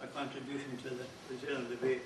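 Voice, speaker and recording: A Scottish man giving a short talk as guest speaker, measured, stumbling on repeated words. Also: mid-range voice, level tone. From Scotland. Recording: echoey hall, distant, noisy background.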